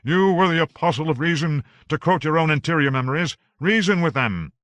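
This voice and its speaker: A determined hero who speaks urgently in a low voice under strain, as a male narrator reads him. It stays close to the narrator's own voice. The recording is dry and close. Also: expressive, normal speed, a bit bright, no echo. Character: Harry, a strained determined man.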